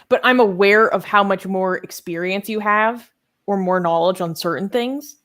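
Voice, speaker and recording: Young woman with a lowish voice on a live q&a, hesitant, lots of ums, thinks out loud. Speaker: co-host. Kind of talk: casual live Q&A chat.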